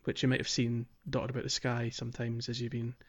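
A man's voice: mid-range voice, completely flat delivery, brisk. A man co-hosting a podcast, chatting casually about a video game. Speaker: podcast co-host. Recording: slightly dull, lo-fi microphone.

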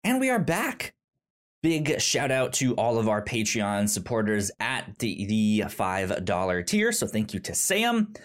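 A man hosting a casual podcast chat, talking in a halting way with many fillers and stammered restarts. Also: lowish voice, huge pitch swings. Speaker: podcast host.

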